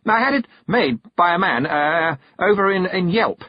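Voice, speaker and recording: A man's voice: sits high, animated, moderate pace, no echo, highs cut off, pitched above the performer's own voice. Man voicing a flustered, stammering young nobleman. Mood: nervous, embarrassed. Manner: stuttering, hesitant, flustered. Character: nervous, stammering young nobleman, a baron's son.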